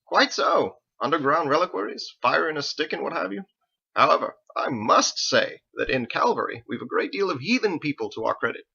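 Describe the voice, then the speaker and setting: A man's voice: on the high side, expressive, fairly quick, gravelly, bright, forceful tone, breathy edge, highs cut off, pitched above the performer's own voice, exaggerated voice. A man voicing a pompous, verbose, prim young paladin churchman in an audiobook, with florid, fussy, over-formal diction. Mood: effusive, self-satisfied. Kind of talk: audiobook character voice.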